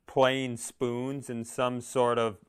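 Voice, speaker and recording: A man's voice: medium pitch, flat delivery, slow pace, clean tone. A man co-hosting a podcast in casual comedic chat, joking and rambling with a self-deprecating streak. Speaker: podcast co-host.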